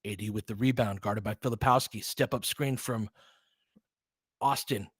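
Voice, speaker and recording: A man's voice: lowish voice, slightly rough, no echo, studio quality. Man calling basketball play-by-play. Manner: excited bursts with quick laughs. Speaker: play-by-play commentator. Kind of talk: fan play-by-play commentary.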